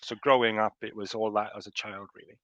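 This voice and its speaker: A man's voice: fairly low pitch, level tone, fairly quick, pushed and bright, no room sound, dull audio. A man in his fifties with a Welsh accent, chatting as a guest on a call and telling stories with chuckles and restarts. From South Wales. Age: 50s. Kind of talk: casual remote interview.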